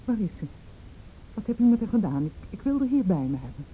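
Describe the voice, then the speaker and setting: An actress playing a defensive, easily flustered mother in a radio drama, with an agitated, halting delivery. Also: sing-song, warm tone, natural voice. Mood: indignant. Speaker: actor in a radio play. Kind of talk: Dutch radio drama acting. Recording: rumbly, noisy old radio recording.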